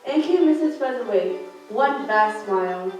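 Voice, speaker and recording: A woman's voice: on the high side, animated, measured pace, faint music behind. A young woman in her teens reading scripted storybook narration for a student radio play. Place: large school theater classroom, indoors. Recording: echoey room, distant mic, background noise.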